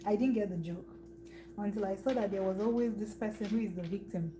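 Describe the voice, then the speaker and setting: A woman's voice: medium pitch, brisk. Chatty Nigerian woman, noisy kitchen. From eastern Nigeria. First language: Igbo. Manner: chatty, laughs often, talks while working. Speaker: cooking livestream host. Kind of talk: casual chat while cooking. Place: home kitchen. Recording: noisy room with hum.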